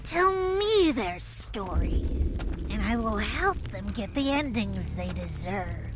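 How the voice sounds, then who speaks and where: A woman's voice: medium pitch, sing-song, slow pace, music in the background. A woman voicing a sly, taunting fairy villainess in a comic dub, drawling with mock politeness and sweet menace. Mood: coaxing, eerie.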